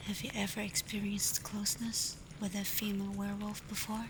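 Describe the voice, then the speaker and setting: Woman playing a female alpha werewolf, tender and protective, hushed breathy ASMR delivery, even unhurried tone, clear ambient storm noise. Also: medium pitch, lightly acted. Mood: soothing, curious. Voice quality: soft, breathy, often whispered. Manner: slow, gentle, hesitant when confessing feelings. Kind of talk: intimate ASMR roleplay addressed to listener.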